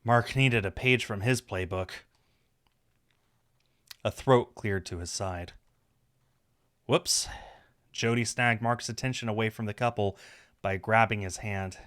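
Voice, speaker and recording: A man's voice: on the low side, steady tone, slow, pauses often, a bit bright, clear, resonant tone. A man reading a visual novel aloud on a stream, narrating the story with casual asides.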